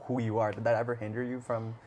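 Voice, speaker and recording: A man hosting a podcast, asking long, rambling questions in a relaxed way. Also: medium pitch, quick pace, slight background noise. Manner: rambling questions, easy laughs. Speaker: podcast host. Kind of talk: casual interview questions.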